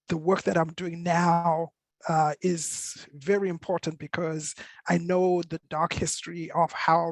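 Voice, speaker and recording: Higher-pitched man, gravelly, even intonation. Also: no room sound. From Zimbabwe and South Africa. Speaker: panelist. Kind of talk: personal introduction in a panel discussion.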